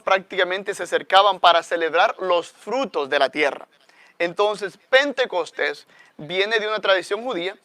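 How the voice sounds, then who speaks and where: A Salvadoran man speaks as a guest priest in a conversational teaching style. His voice sits a little high and is smooth and clear, in a dry recording. He reflects as he goes, adding short questioning tags. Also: pushed and bright, dry recording. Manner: reflective, often adds a questioning "¿no?".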